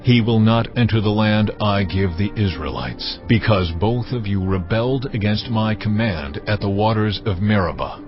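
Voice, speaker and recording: A man's voice: fairly low pitch, normal speed, few pauses, highs cut off, music in the background, natural voice. An actor playing a solemn, commanding male deity with an authoritative manner in a dramatized audio Bible.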